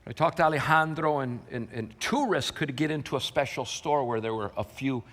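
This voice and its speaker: A middle-aged male pastor preaching a sermon as a story, shifting from loud impassioned shouting to quiet hushed telling. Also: medium pitch, fairly quick. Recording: long hall reverb.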